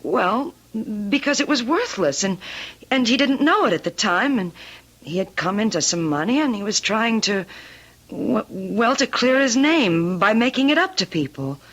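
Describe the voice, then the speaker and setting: Radio mystery client: guilt-ridden daughter, sharp-edged tone, earnest and confiding. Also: female voice, fairly high pitch, very animated, normal speed, highs cut off, full character voice. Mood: recounting. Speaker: actress playing a client. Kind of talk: old-time radio drama acting.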